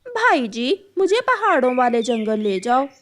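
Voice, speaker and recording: A woman voices a young cartoon crow, a lazy girl eager to prove herself. The voice is high, with lively swings in pitch. She sounds earnest and pleading, and takes her time. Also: a little slow, a little hiss or hum. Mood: earnest request.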